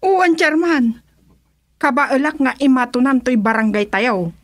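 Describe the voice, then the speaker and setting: A woman acts out an ambitious, sassy village councilwoman in a radio comedy-drama. Her voice is high and slow, with an Ilocano accent. She is exclamatory and teasing, drawing out her words. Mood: eager, confident.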